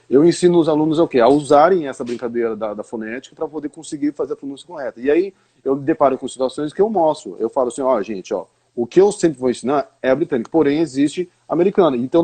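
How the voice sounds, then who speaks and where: A man's voice: on the high side, warm tone, husky. A Brazilian man, an English teacher, explaining pronunciation in a live stream conversation, often asking rhetorical questions. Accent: Brazilian Portuguese.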